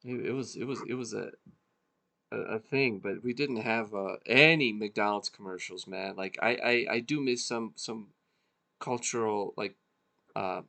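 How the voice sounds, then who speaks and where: A man born in Serbia, co-hosting a podcast in a relaxed, casual chat, swearing freely and trailing off mid-thought. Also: fairly low pitch, measured pace, gravelly. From Serbia (former Yugoslavia). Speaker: podcast co-host.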